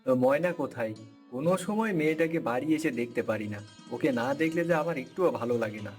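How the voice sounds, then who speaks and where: A good-natured village farmer acted by a man talks in a fairly high male voice. He is a humble, hard-working husband from a cartoon folk tale, with light music and birdsong behind him. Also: animated, fast talker, darkish tone, lightly acted. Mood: homely. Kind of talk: cartoon fairy-tale dialogue acting.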